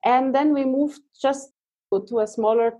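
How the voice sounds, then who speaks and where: A woman's voice: high voice, steady tone, moderate pace, warm tone. A woman in her thirties from Switzerland with a Swiss accent, a guest in a casual interview who speaks hesitantly while searching for English words.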